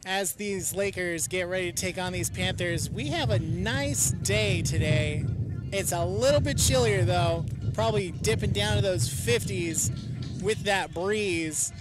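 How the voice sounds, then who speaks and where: A young man doing relaxed, chatty play-by-play commentary at an outdoor soccer match, sometimes stretching his phrases out. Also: high-pitched, measured pace, over loud music. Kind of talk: live sports commentary. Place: outdoors at a coastal soccer field.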